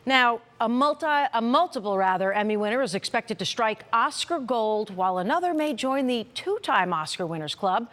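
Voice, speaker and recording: Higher-voiced woman presenting TV news. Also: lively intonation, normal speed, hardly any pauses, fairly bright tone. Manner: chatty, addresses viewers directly. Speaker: TV news anchor and consumer reporter. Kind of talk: broadcast news presenting, conversational.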